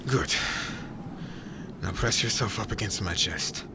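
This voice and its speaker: A man playing a gruff, guarded witch hunter in an ASMR roleplay, stern and commanding in stilted formal phrases, often breathy and near a whisper. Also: lowish voice, measured pace, background noise, lightly acted. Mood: reluctant, firm. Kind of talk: ASMR roleplay audio drama.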